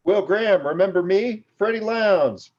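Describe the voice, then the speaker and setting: A man's voice: sits high, moderate pace, dull audio. A man acting a small, eager tabloid reporter who pesters insistently, in a table read. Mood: pushy, eager.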